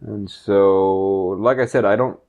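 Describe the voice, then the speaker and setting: A man in his thirties from Canada and Texas, speaking unscripted in a halting, reflective way as he discusses his personal history. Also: deep voice, fairly even intonation, darkish tone. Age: 30s. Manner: halting, reflective, rephrases as he goes. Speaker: project co-creator and interviewer. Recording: dull, lo-fi sound.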